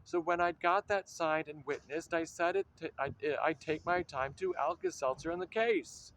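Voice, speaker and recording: A man's voice: a little high, expressive, moderate pace, a bit bright, low-quality recording. An actor playing a wry, pedantic man, delivering dry, clipped lines and hardboiled pastiche narration. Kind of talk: radio-drama acting with hardboiled pastiche narration.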